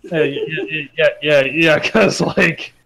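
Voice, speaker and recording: Baffled man with a higher voice. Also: steady tone, gravelly, a bit bright. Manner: baffled, halting. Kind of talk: casual banter among friends.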